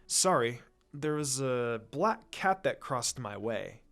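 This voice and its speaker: A man's voice: medium pitch, sing-song, takes it slowly, vocal fry, a bit bright, voice pushed down, heavily acted. A man voicing a laid-back male ninja teacher in a story reading, casual and unruffled.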